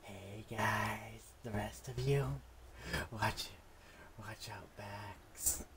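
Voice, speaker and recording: A man voicing a male fighter in a video game who leads an ambush in hushed, halting words. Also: on the low side, steady tone, a little hiss or hum, pitched below the performer's own voice. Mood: hushed, tense. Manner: hushed, halting read-aloud.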